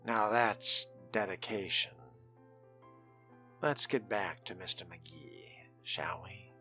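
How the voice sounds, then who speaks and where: A deep, unhurried vampire gentleman, played by a man for a game roleplay. He speaks in hushed, breathy tones with genteel, calculating politeness, over prominent music. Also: heavily acted. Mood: polite, probing. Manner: formal, genteel, measured wording. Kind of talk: in-character game roleplay narration.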